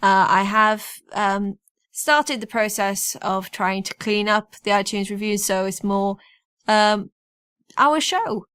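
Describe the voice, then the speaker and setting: A woman's voice: mid-range voice, slow pace, a bit bright, clear, resonant tone. A woman hosting a podcast, chatting casually through notices and sign-offs. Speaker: podcast host.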